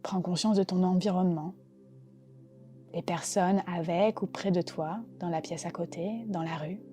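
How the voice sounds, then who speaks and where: Woman, mellow warm timbre, calm gentle guide, relaxation meditation, unhurried phrasing, light music in the background. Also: fairly low pitch. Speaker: yoga nidra guide. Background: soft background music.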